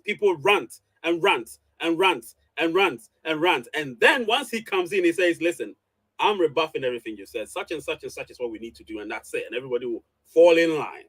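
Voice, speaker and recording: A man hosts a live online commentary show in a fairly high voice. He speaks straight to his followers. His delivery is emphatic, and he often repeats phrases to drive his points home. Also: a bit bright, low-quality recording. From Ghana.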